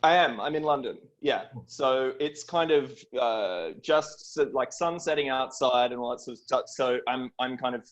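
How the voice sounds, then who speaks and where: A man's voice: mid-range voice, normal speed, hardly any pauses. A middle-aged man with an Australian accent, speaking as a guest film producer in a conversational storytelling style on a video call.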